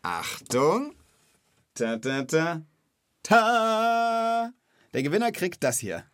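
A man's voice: high voice, sing-song, extremely slow, fairly bright tone, no room sound, studio quality. A man hosting a children's knowledge podcast, chatting and explaining in a casual, enthusiastic, colloquial way.

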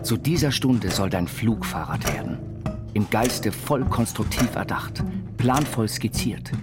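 A man reciting literary text in a measured, declamatory way for an audio biopic. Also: on the low side, lightly acted. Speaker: reader of an inventor's writings. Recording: studio voice under loud music. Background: loud instrumental music.

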